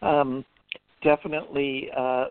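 A man's voice: medium pitch, flat delivery, measured pace, slight background noise. A middle-aged man with a Texan accent chatting casually as a guest, hesitant, wry and good-humored. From Texas, USA. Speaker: guest panelist, deacon and rootworker. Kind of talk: casual chat. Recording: tinny phone line.

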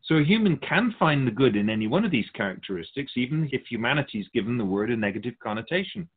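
A man playing a patient, lofty spirit guide who teaches in measured, formal phrases in a scripted audio drama. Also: medium pitch, sing-song, breathy edge, narrow, tinny audio. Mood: measured, explanatory. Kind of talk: scripted dialogue in a fiction podcast.

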